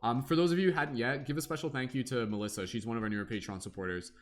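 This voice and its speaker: A man's voice: medium pitch, rapid-fire. A young man hosting a casual tarot livestream, rambling with many fillers and humming to himself between comments.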